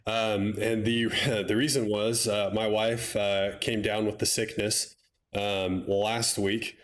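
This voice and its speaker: A man with a stuffy, slightly nasal voice casting a strategy game match with relaxed, chatty commentary and wry asides. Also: on the low side, slow. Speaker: game caster.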